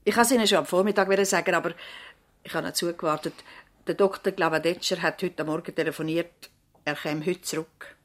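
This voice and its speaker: A woman's voice: on the low side, brisk, lightly acted. A woman acting the part of a devoted, worried village nurse in a 1970s radio drama, speaking earnestly and politely. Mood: concerned. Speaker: actor in a radio play.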